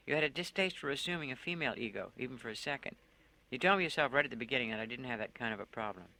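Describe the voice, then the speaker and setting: A man voicing a patient male psychiatrist who speaks in a measured, soothing way and asks probing questions. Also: mid-range voice, lively intonation, bright, forceful tone, rough recording.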